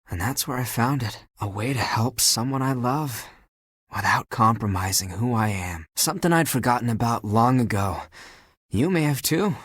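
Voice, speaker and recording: A living heap of laundry in a dating game, a male flirt with a husky, rough-edged voice. He is theatrical and emotive, all swagger over a sensitive core. The sound is close and dry, with no room around it. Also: mid-range voice, dry recording. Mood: reflective, tender. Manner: flirtatious, theatrical, emotive, swinging from guarded to grandiose. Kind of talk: dating game character voice acting.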